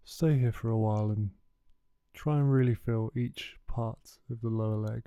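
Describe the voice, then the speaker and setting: Very mellow, soft-edged male meditation guide. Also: medium pitch, fairly even intonation, clean tone, dry recording, studio quality. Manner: soothing, unhurried instruction with gentle pauses. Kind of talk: guided body scan meditation.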